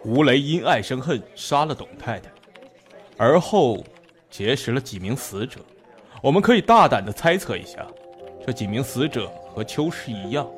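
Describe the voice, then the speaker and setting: An actor playing a methodical male detective, deliberate and analytical, in an audio drama. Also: mid-range voice, natural voice.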